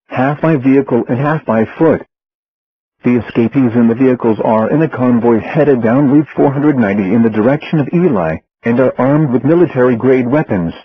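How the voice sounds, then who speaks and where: A man with a synthetic-sounding voice reading a formal emergency broadcast announcement in a flat delivery. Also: medium pitch, husky, no echo. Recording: muffled, phone-like emergency radio transmission.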